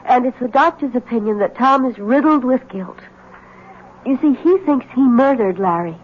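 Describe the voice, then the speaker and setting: Brief: a coy, mysterious young woman, soft and guarded, dull old radio sound. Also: high-pitched, expressive, moderate pace, slight background noise. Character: demure, mysterious young woman hiding a dark secret. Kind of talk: 1970s radio drama acting. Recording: dull 1970s radio broadcast.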